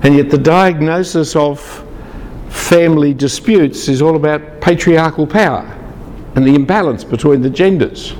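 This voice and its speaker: A man's voice: fairly high pitch, moderate pace, a little mellow, in a large hall, slight background noise. A man from Australia, giving a lecture to a large group, chatty and teasing the audience.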